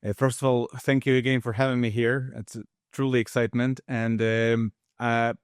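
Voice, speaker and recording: A man in his fifties from Russia, a guest expert speaking in a measured, halting way with frequent restarts. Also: medium pitch, level tone, clear, resonant tone, no echo, clean studio sound. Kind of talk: casual interview talk.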